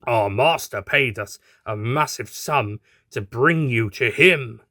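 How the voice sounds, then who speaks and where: A man voicing a sinister thug in an audio story, slow, gloating and full of mockery. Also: mid-range voice, bright, forceful tone, no room sound, studio quality. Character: mocking, sinister male thug kidnapper.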